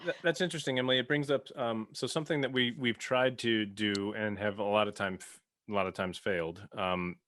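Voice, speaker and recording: A man's voice: lowish voice, steady tone, brisk, hardly any pauses, fairly bright tone, dry recording, studio quality. A man hosting a casual podcast discussion, feeling his way through sentences and rephrasing as he goes. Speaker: podcast host and sound designer.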